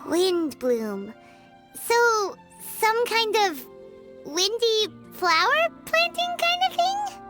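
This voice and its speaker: A woman voicing a tiny, cheerful childlike fairy companion in a video game, squeaky, eager and dramatic. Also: drawn-out words, music in the background, exaggerated voice. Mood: curious. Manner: refers to herself in third person, expressive. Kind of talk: video game character acting.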